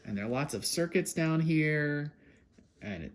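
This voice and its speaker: A man's voice: fairly high pitch, lively intonation, a little slow. A man casually explaining a hands-on task to a child, patiently prompting with questions. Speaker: parent presenting a homemade kids' take-apart video. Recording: clear background noise, handling clicks.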